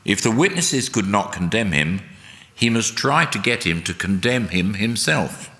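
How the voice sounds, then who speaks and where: A man reading a solemn, dramatic narration in a deliberate, emphatic oratorical way. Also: fairly low pitch, sing-song, sharp tone. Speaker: reader at a church service. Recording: long hall reverb.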